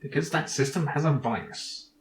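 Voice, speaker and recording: A man's voice: on the high side, animated, moderate pace, roomy sound, slight background noise, close to an everyday voice. A man voicing a cocky little robot sidekick from an adventure game, sarcastic, pedantic and dismissive. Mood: knowing, smug.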